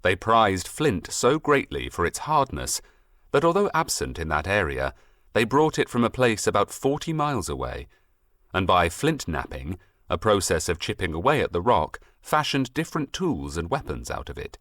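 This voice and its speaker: A man narrating a scripted storybook in an expressive, measured, literary storytelling manner. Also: low-pitched, no echo, studio quality. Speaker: audiobook narrator.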